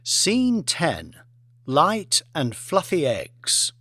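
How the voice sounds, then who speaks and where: A man narrating a scripted novel as an audiobook in a deliberate, theatrical manner. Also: on the high side, huge pitch swings, sharp tone. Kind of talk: scripted dramatic fiction narration.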